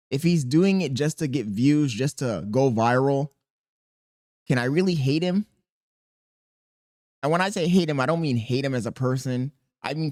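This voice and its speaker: A man with a squeaky voice talking casually and profanely to camera as a content creator, rambling and animated. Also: no echo, clean studio sound. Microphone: podcast dynamic mic.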